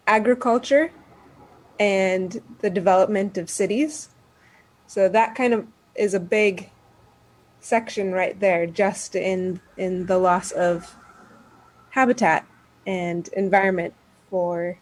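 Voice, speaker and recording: Slow-paced woman explaining as a resource speaker. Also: medium pitch, darkish tone, rough recording. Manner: deliberate, pausing to list points. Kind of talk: explanatory answer to a question.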